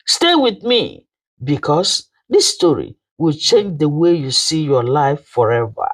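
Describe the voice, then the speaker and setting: Nigerian folktale narrator, slow n dramatic, pitch goes all over, bit husky. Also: sing-song, rough recording. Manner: emphatic, theatrical, with dramatic pauses. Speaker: storyteller, channel narrator.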